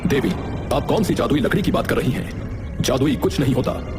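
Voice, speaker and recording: A man's voice: medium pitch, lively intonation, fairly quick, natural voice. Man playing a wary king in cavernous reverb. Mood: sceptical. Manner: formal, commanding. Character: stern, wary male king of a fairy-tale realm. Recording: long hall reverb under music.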